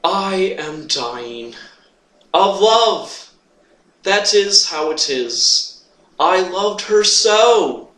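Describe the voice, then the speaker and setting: A man playing a tormented, obsessive, lovesick Phantom in a drawn-out, theatrical stage monologue. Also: on the high side, sharp tone, exaggerated voice. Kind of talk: dramatic monologue reading. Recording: roomy echo, distant microphone.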